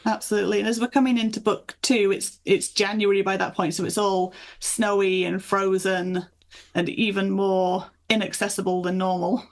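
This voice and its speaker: A woman with a British accent speaks as an author guest in a casual interview. Her pitch is medium and her delivery is conversational. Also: flat delivery, normal speed.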